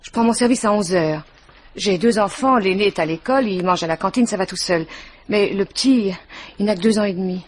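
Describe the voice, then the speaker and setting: An actress plays a flustered working-class widow in a radio mystery. She rambles in hesitant, repetitive bursts with a deferential air. Her mid-pitched voice sits in a slightly noisy recording. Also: brisk, close to an everyday voice. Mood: chatty, flustered. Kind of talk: French radio mystery drama acting.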